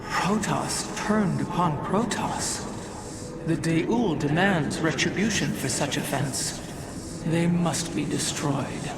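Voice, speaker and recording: A woman's voice: deep voice, takes it slowly, very noisy. A woman playing a proud, ancient alien keeper of history in a game, with formal, solemn, archaic phrasing. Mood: stern, indignant. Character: proud, ancient female alien preserver of history, stern and devout. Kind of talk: scripted game cutscene dialogue.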